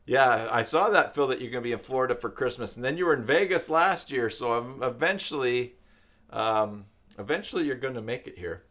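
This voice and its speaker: An older man hosting a live stream, chatting casually in a rambling, reflective way with hesitant pauses. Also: mid-range voice, animated, fairly bright tone. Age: older, with grandchildren.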